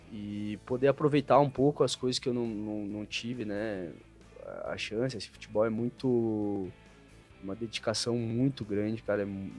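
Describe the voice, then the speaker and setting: A Brazilian man in his thirties talking over his career in a relaxed way, with lots of fillers, as a podcast guest. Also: on the low side. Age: 30s. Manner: relaxed, thoughtful storytelling with many fillers. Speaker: interview guest, retired footballer. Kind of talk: casual podcast interview. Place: podcast studio with shirts on the wall. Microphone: desk mic on a stand.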